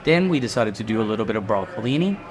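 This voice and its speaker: A man's voice: lowish voice, animated, rapid-fire. A middle-aged man from Guatemala, a restaurant owner, chatting casually in an interview and rambling a little with fillers and restarts.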